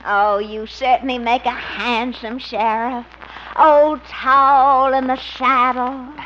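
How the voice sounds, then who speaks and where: Muffled, hissy vintage radio comedy. an adoring, flirtatious sweetheart with a high voice, drawing out her coy, gushing words. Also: little pitch movement, takes it slowly. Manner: coy, cooing. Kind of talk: 1950s radio comedy acting. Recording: muffled, noisy old radio recording.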